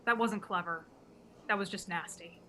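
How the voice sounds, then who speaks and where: An irritated teenage girl who scolds sharply in a bright, cutting voice, as a woman plays her. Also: mid-range voice, moderate pace, a little hiss or hum, close to an everyday voice.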